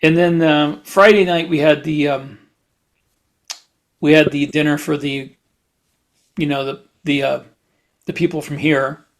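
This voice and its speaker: A man chatting casually as a podcast host, in a halting, rambling way with repeated words. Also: fairly high pitch, steady tone. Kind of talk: casual chat.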